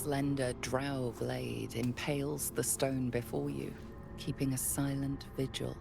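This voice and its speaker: A woman playing a grave, ominous fantasy narrator in a video game, speaking in a deliberate, solemn way. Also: a bass voice, flat delivery, full character voice. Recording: game narration over clear music.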